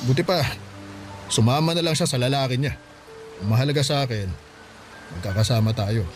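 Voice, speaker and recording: A man's voice: medium pitch, fairly quick, faint music behind, natural voice. An actor playing a hardworking father in his forties, speaking tenderly and reassuringly in a radio drama. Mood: gentle. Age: 40s. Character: hardworking widowed father, mid-forties, gentle.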